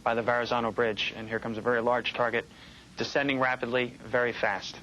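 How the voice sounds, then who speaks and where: An American man recalls events as an interviewee. His voice is mid-pitched with very little rise and fall, over faint background noise. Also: flat delivery, fairly quick, pushed and bright, rough recording. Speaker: air traffic controller interviewee. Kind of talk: first-hand recollection in interview.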